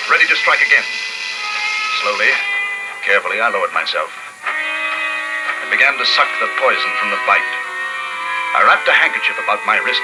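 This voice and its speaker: An actor playing an earnest, shaken young ecologist who survives alone, narrating a 1950s radio drama with dramatic, halting pauses. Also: male voice, mid-range voice, close to an everyday voice, low-quality recording. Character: lone male ecology student, snakebitten survivor, earnest and shaken. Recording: vintage 1950s radio recording, music behind.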